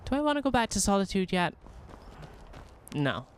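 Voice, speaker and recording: A young man from Wales with a softened Welsh accent, streaming a role-playing game in rambling, self-mocking chat full of restarts. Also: sits high, very animated, moderate pace, a little hiss or hum. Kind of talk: casual in-character role-play commentary.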